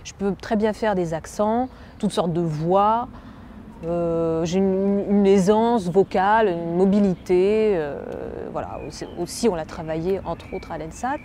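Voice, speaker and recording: A young woman from France, an actress, speaking in a slightly low, mellow voice. She muses aloud, halting often and circling back over her words. Faint background noise sits under her voice. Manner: musing, halting, many fillers and restarts. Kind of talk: self-presentation interview.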